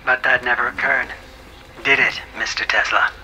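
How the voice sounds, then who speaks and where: Stern male examiner, very sharp bright voice, pointed questioning, faintly noisy tape sound. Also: medium pitch, steady tone, normal speed. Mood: pressing. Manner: pointed, formal interrogation. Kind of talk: audio drama played as recorded testimony. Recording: slightly noisy old tape-recorded deposition.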